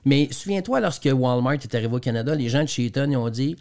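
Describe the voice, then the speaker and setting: A man's voice: medium pitch, animated, fast, darkish tone. A man from Quebec with a Quebec French accent, a guest trainer and speaker explaining business examples in a radio interview, often repeating words as he goes.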